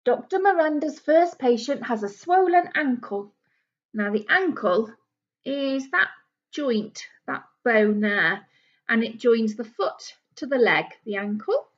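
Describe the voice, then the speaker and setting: A woman's voice: fairly high pitch, very animated, takes it slowly. A woman, a primary teacher reading a storybook aloud to young children and explaining it simply with questions. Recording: dull lo-fi small camera recording.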